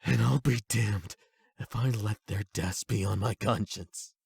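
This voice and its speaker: A man's voice: lowish voice, measured pace, gravelly, darkish tone, slightly rough, no room sound, clean studio sound, full character voice. A man playing an angry, hurt young delinquent, defiant and sarcastic, in an emotional anime-style audio drama. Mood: desperate, frustrated. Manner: sweary, sarcastic, confrontational.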